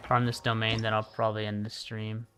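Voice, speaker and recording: A man's voice: fairly low pitch, completely flat delivery, fairly quick. A man giving casual, musing commentary on a gaming livestream, often trailing off mid-thought. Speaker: livestreamer playing a video game. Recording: game music behind the voice.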